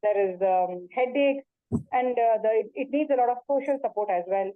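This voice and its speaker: A woman's voice: a little high, moderate pace, no room sound. A woman teaching yoga in a webinar, speaking earnestly and repeating herself, with many fillers and restarts, over a video call. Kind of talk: webinar talk and Q&A. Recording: tinny phone-like call audio.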